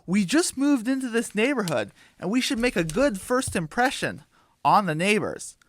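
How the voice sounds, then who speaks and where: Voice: mid-range voice, very animated, normal speed, voice pushed up. A performer voicing a polite, sensible, friendly chicken in a radio parody. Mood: firm.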